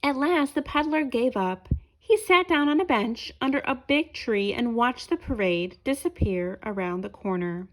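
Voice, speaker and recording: A woman reads a children's storybook aloud. Her voice is high, with very lively rises and falls, and her narration is expressive and aimed at young listeners. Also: moderate pace, rough recording. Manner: asks listeners questions as she reads. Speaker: storybook reader.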